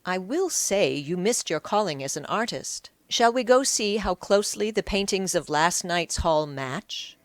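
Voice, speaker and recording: A woman playing a shrewd, measured male Egyptian sleuth. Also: fairly low pitch, lively intonation, no echo. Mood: confident, revealing. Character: shrewd male ancient Egyptian slave investigator posing as fortune-teller. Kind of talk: audiobook character acting.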